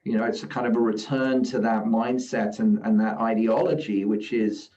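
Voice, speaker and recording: A middle-aged man from South Africa, a guest investor giving measured, reflective interview answers and often saying "you know", at a live event. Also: fairly low pitch, level tone, mellow. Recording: roomy, distant, dull sound.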